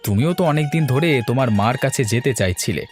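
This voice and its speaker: Guy doing a cartoon village dad, medium pitch, coaxing and teasing, music clearly behind. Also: animated, fairly quick, close to an everyday voice. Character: indulgent, gently teasing village father. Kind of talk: Bengali cartoon story dialogue.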